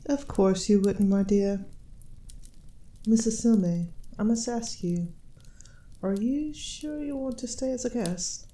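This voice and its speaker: A woman playing an elf queen and mother, speaking in a formal, measured, gracious and gently teasing way in a fantasy audio roleplay. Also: medium pitch, lightly acted. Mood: fond, assured. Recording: roomy, reverberant sound.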